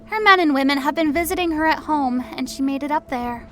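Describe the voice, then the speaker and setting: A woman playing a quick aide at a fantasy war meeting in an audiobook. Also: high voice, sharp tone, faint music behind. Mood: brisk. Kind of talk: audiobook character acting.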